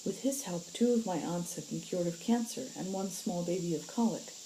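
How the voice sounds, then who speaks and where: A woman's voice: fairly low pitch, moderate pace, warm tone, slight background noise, voice pushed up, natural voice, low-quality recording. A woman voicing an earnest, pleading young woman who stands up for her family in a story.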